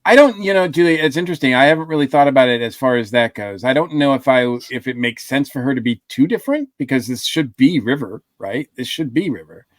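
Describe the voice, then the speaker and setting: A man's voice: medium pitch, lively intonation, quick pace, few pauses, dry recording. Thoughtful man on a dry podcast mic. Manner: thoughtful, hedging with fillers. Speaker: podcast co-host. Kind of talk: casual podcast discussion.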